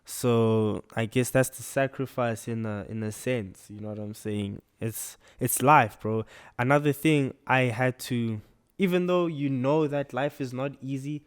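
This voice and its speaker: A man's voice: mid-range voice, unhurried, clean tone. A young man co-hosting a casual podcast chat, laid-back and full of slang, tagging lines with "you know" and "bro". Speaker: podcast co-host.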